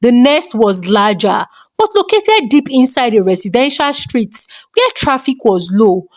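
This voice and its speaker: A woman's voice: fairly high pitch, very animated, a little slow. A woman narrating a scripted folktale as a storyteller.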